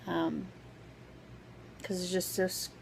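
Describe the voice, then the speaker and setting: Hesitant woman with a scratchy, gravelly voice. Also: fairly low pitch, level tone, takes it slowly. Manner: hesitant, full of "um" fillers. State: sore, scratchy throat. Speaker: vlogger. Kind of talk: casual vlog update.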